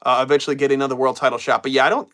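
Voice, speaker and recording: A man's voice: medium pitch, flat delivery, very fast, fairly bright tone. A man hosting a live wrestling stream, chatting casually and reading viewer comments while getting over a cold. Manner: casual, loose, repeats himself and trails off. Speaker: wrestling show host.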